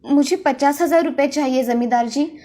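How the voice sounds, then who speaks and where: A woman playing a dutiful, respectful college-age daughter in an animated moral story, with an earnest, pleading manner. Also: high-pitched, moderate pace, lightly acted. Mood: pleading, grateful. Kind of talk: children's animated story acting.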